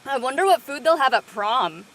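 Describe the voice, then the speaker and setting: A woman playing a playful, blunt, food-loving high school senior girl in a drama table read. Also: lively intonation, moderate pace, fairly bright tone, slight background noise, poor audio.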